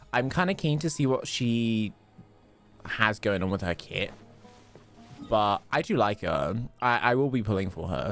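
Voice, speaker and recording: A man's voice: fairly low pitch, gravelly, a bit bright. A man streaming a game, chatting casually and rambling while answering chat questions. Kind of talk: casual gameplay commentary.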